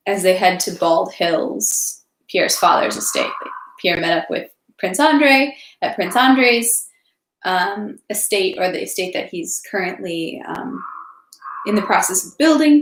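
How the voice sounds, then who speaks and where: A woman's voice: mid-range voice, a little hiss or hum. A woman hosting an informal livestream read-aloud, speaking in a casual, everyday way. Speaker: livestream host reading a novel aloud. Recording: roomy, echoey livestream sound.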